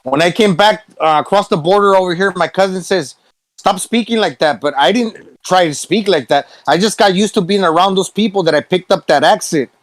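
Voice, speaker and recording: A man with a notably high voice tells thoughtful anecdotes in casual podcast chat. The recording is dry and close. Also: fairly quick, no room sound.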